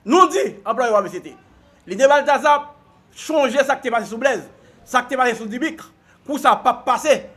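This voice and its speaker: High, bright Haitian man protesting. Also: lively intonation, fairly quick. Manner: emphatic, rousing speech.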